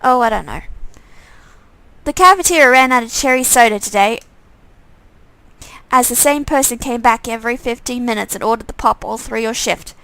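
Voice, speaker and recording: A woman game streamer with a fairly high voice and a heavy creak in it. She reads on-screen story text aloud in a casual let's-play way, now and then tripping on a phrase and saying it again. The sound is close and dry. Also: normal speed, pauses often, bright, forceful tone, no echo. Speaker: streamer playing and reading a visual novel aloud.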